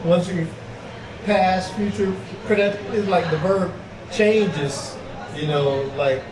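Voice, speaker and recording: A middle-aged man from the United States, chatting casually as an interview guest, animated and full of fillers. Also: sits high, slow pace. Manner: animated storyteller, repeats fillers like "like". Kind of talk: casual chat. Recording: echoey room with background noise.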